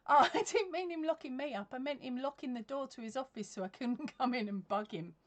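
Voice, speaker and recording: Woman, thirties, fairly high voice, chatty livestream talk, lots of ums and laughs. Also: fairly quick. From England. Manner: chatty, laughs often, many ums and restarts. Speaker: crochet livestream host. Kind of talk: casual live-stream chat reading comments. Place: craft room at home with yarn shelves. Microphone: webcam at desk.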